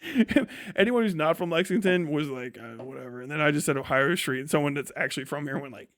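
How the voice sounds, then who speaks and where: Male American livestream commentator, high-pitched, blunt and profane, casual opinionated delivery, very dry sound. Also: fast, a bit bright, no echo. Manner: profane, blunt, scornful, slangy. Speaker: livestream host and political commentator.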